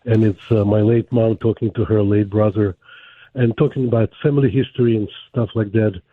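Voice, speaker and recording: A man's voice: lowish voice, fairly even intonation, fairly quick, dry recording. Ukrainian-accented man calling in by phone. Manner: eager and polite. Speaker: caller. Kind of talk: call-in conversation. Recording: tinny phone line.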